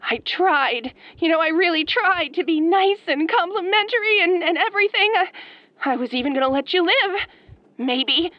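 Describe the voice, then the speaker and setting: A woman acting as an obsessed young female fan turned killer in a horror audio roleplay, soft-spoken, girlish and pouty, with sweet menace. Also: very high voice, animated, moderate pace, dry recording, dull audio. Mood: sweetly threatening. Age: young adult.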